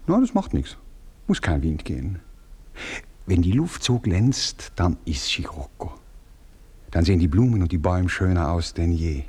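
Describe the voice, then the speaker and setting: An actor playing a self-pleased, impatient philandering writer who rambles rhapsodically and hesitantly in a German radio play. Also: male voice, low voice, big pitch swings, moderate pace, a little mellow, slightly rough, heavily acted. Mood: rhapsodic, flirting.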